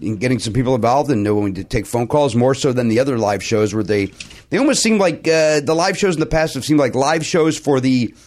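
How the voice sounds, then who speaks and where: A man hosting a casual comedy podcast, hoarse and raspy from a sore throat, bantering playfully and mocking himself. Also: medium pitch, animated, brisk, darkish tone. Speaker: podcast host.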